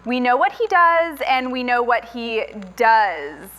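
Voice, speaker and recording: Lady doing a skeptical radio cohost, high bouncy voice, slow dry quips. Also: very animated, fairly bright tone, exaggerated voice. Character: skeptical sharp-tongued female radio co-host. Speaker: radio co-host.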